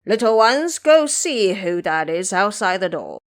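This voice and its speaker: A woman's voice: medium pitch, very animated, measured pace. A woman voicing a fearsome male monster king in an audio drama, commanding his underlings.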